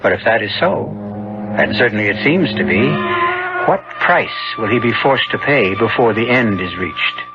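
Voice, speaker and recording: A man hosting and narrating a 1970s radio mystery in a solemn, measured, dramatic manner. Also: fairly low pitch, expressive. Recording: dull old radio broadcast. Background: music.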